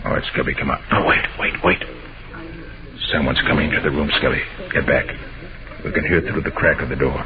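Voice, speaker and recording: An American man playing a shrewd, level-headed private detective in 1940s radio style, with a deliberate, authoritative delivery. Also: a bass voice, steady tone, highs cut off. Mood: hushed, cautious. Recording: noisy, band-limited old radio recording.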